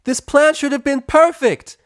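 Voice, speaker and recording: A man's voice: extremely high pitch, steady tone, unhurried, dry recording, clean studio sound, voice pushed up. A man voicing an earnest, anxious young female pony princess in a story reading. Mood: frantic.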